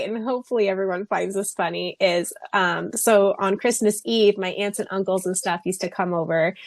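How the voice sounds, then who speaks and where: A woman's voice: mid-range voice, dry recording. A woman co-hosting a podcast, chatting casually in a giggly, folksy, informal way. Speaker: podcast co-host. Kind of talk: casual chat, anecdote telling.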